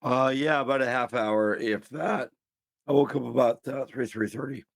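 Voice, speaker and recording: A man's voice: mid-range voice, moderate pace, vocal fry, dry recording, clean studio sound. Sick podcast co-host man. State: sick, dry throat. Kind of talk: casual podcast banter.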